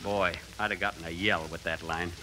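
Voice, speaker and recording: A man's voice: deep voice, steady tone, a little fast, sharp tone. A man playing a vain, put-upon detective's assistant who grumbles through a 1940s radio comedy. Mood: sulky. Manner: sulky muttered asides, exasperated outbursts. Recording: noisy old 1940s radio recording.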